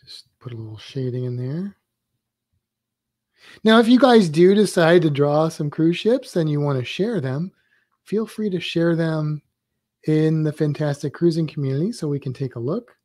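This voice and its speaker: Guy drawing live, fairly high but mellow voice, dry close mic, kinda rambly. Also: animated, moderate pace, dry recording. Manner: loose, rambling, thinks aloud while sketching. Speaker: drawing instructor on a live stream. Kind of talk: casual live-stream drawing tutorial.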